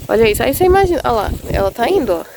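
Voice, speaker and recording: A woman's voice: on the high side, big pitch swings, very fast, gravelly. A Brazilian woman with a cold, chatting casually as she films a vlog outdoors on rural land, gushing over small things. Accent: Brazilian Portuguese. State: congested with a cold. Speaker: vlogger. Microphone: handheld phone. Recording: windy outdoor phone recording. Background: wind and outdoor noise.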